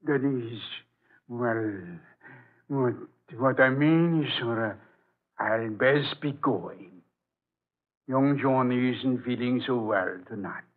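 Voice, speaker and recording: An actor playing an evasive, deferential manservant with a halting, stammering delivery in 1940s radio drama style. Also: male voice, medium pitch, natural voice. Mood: flustered, deferential. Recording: narrow, lo-fi old radio broadcast.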